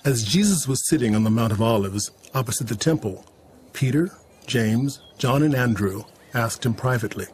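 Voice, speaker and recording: A man's voice: medium pitch, normal speed, a little mellow, a little hiss or hum. A man narrating a scripted dramatic reading of scripture, setting the scene between spoken parts.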